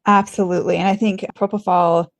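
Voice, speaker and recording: A woman's voice: on the low side, little pitch movement, brisk, vocal fry. A woman podcast host discussing medical topics conversationally, thinking aloud in an earnest, questioning way.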